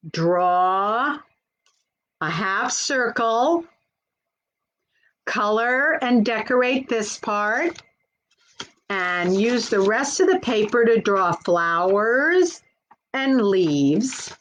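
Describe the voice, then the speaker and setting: A woman's voice: on the high side, fairly bright tone, low-quality recording. A woman working as a children's librarian, hosting a live storytime in a simple, encouraging way and speaking directly to young children.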